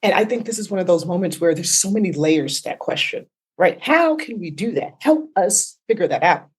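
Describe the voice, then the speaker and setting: A woman's voice: fairly low pitch, expressive, brisk, breathy edge. A woman therapist being interviewed, explaining points emphatically and often adding a tag question. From United States. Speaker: therapist guest.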